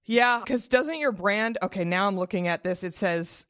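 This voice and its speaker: A woman's voice: medium pitch, normal speed, a bit bright, no echo. Playful 30s woman hosting a beauty podcast, over the top and jokey, dry close sound. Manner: bubbly, theatrical, playful. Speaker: podcast host. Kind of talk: comedic beauty podcast chat. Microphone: video call.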